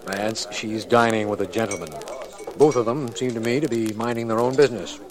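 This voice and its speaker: An actor playing a skeptical district attorney in 1940s radio drama style, dry and gently teasing. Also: male voice, on the low side, steady tone, fairly quick, close to an everyday voice. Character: dry, skeptical middle-aged district attorney, detective's friend. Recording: old radio recording, murmuring crowd.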